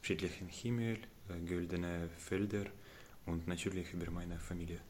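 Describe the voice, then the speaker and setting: Low-voiced teenage boy with a Ukrainian accent. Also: steady tone, normal speed, a little mellow, slight background noise. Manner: careful non-native phrasing. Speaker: interviewee, refugee student. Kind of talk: interview answers.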